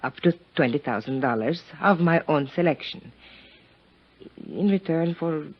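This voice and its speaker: An actress playing a worldly, poised, impoverished young countess with a languid, guarded manner in a 1950s radio drama. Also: female voice, deep voice, expressive, unhurried, husky, heavily acted. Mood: composed. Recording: muffled 1950s radio recording.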